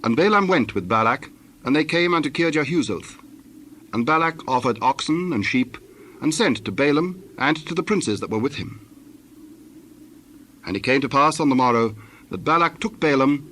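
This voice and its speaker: A man's voice: mid-range voice, lively intonation, moderate pace, fairly bright tone, a little hiss or hum. A man narrating scripture from a script for a dramatized reading.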